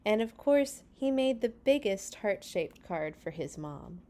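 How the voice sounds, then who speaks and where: A woman reading a picture book aloud to young children as a storytime host, with an expressive delivery that addresses them directly. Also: medium pitch, measured pace.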